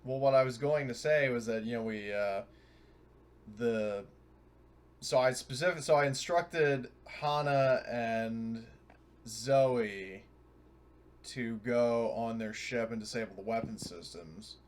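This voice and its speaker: A man's voice: mid-range voice, slow. A man playing a tabletop game, casually but insistently talking through details with the other players.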